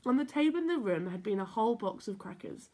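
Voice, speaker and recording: A woman reading a children's story aloud in an expressive, playful, emphatic way. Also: medium pitch, fast. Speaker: story time reader for children.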